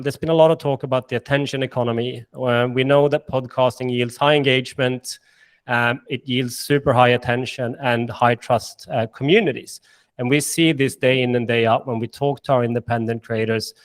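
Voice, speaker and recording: A man with a Swedish accent presenting a business webinar in an upbeat way, with many fillers and restarts. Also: mid-range voice. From Sweden and Finland. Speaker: managing director presenting.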